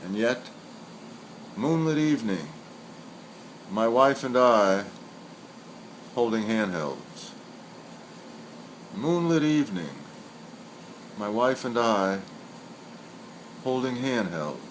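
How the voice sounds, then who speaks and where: A man giving a scripted literary reading of short poems and commentary, reciting in a deliberate, measured way. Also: mid-range voice, poor audio. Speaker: poet reading aloud. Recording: steady background hiss or hum.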